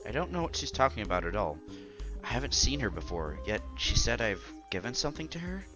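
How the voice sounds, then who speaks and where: A man reading story narration aloud with expressive, theatrical reactions as he hosts a visual novel playthrough. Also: medium pitch, fairly quick, over music.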